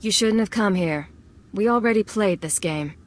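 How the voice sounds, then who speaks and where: A woman's voice: medium pitch, normal speed, close to an everyday voice. An actress playing a guarded, businesslike female secret agent in a video game, cool and terse. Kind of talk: video game cutscene acting.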